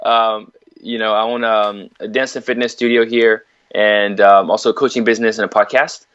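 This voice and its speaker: A man's voice: lowish voice, little pitch movement, normal speed, a bit bright, clear, resonant tone. A man from Africa, a fitness coach, talks easily as a podcast guest and laughs lightly. He fills his talk with "you know". The sound is dull and a bit muffled. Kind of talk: casual interview chat.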